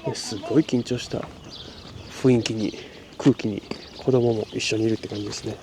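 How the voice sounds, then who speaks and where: A man's voice: lowish voice, fairly even intonation, quick pace, vocal fry, noisy background. A man from Japan, narrating a handheld travel vlog and chatting upbeat with people in simple Spanish and English. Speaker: travel vlogger. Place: outdoors at a migrant shelter by railway tracks.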